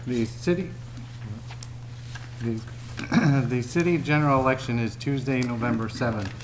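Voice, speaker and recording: A man with an ordinary mid-range voice reads out city announcements. His delivery is steady and formal. A faint hiss sits under the recording. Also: mid-range voice, moderate pace. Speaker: city manager. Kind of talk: reading public announcements.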